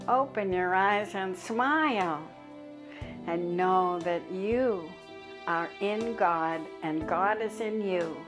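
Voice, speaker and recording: Calm woman minister leading a service. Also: on the low side. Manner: calm, measured, unhurried leading of prayer. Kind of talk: church service, guided meditation and recited declaration. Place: church service recording.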